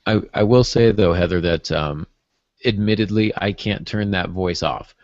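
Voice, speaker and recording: A man's voice: deep voice, moderate pace, darkish tone, dry recording, muffled recording. A man hosting a casual podcast discussion, with a wry, playful way of talking. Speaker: podcast host.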